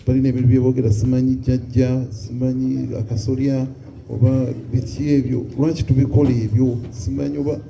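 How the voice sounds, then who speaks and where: A man's voice: mid-range voice, flat delivery, fairly quick, gravelly, dark tone, slight background noise, poor audio. Man moderating a panel. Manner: questioning, mixes English and Luganda. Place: community hall.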